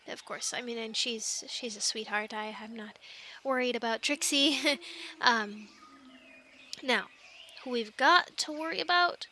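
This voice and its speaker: A woman's voice: fairly high pitch, pushed and bright, slight background noise, full character voice. A woman acting a chatty young island castaway who loves animals, in an intimate, rambling ASMR roleplay with hesitant, earnest explanations. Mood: chatty, wary.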